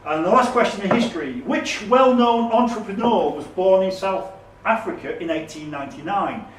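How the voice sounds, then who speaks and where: A man's voice: sits high, expressive, normal speed. A man acting as quizmaster, reading questions aloud and ruling on the answers. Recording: roomy, distant, noisy recording.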